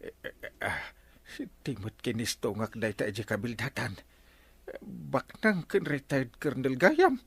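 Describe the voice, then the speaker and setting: A man's voice: mid-range voice, animated, unhurried, creaky, a bit bright, breathy edge, heavily acted. A man with a Filipino accent playing a pompous, stammering, easily rattled village captain in a radio comedy drama. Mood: startled, uneasy. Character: blustering, self-important male village captain, easily rattled.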